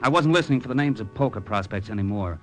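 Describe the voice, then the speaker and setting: An actor playing a cynical, tough-talking American adventurer who narrates in a wry, slangy, clipped radio drama style. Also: male voice, on the low side, expressive, fast, lightly acted. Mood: sardonic. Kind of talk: 1950s radio drama acting, hard-boiled narration. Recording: old radio recording with music behind.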